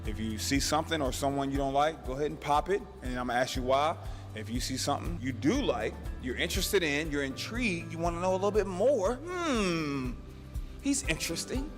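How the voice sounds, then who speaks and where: A man's voice: fairly high pitch, lively intonation, brisk. A man hosting a dating show, explaining the rules in casual slang with hyped-up energy. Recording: roomy reverb with music under it.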